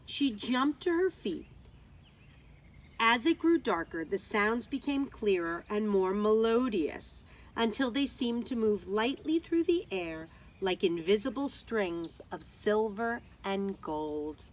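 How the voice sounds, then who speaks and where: A woman's voice: medium pitch, lively intonation, takes it slowly. A woman teacher reading a children's story aloud outdoors, expressively, with dramatic emphasis on key words.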